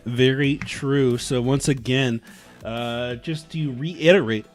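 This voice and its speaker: A young man doing loud, casual, joking play-by-play for an esports match. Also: medium pitch, fairly even intonation, slow, faint music behind. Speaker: play-by-play caster. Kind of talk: esports commentary.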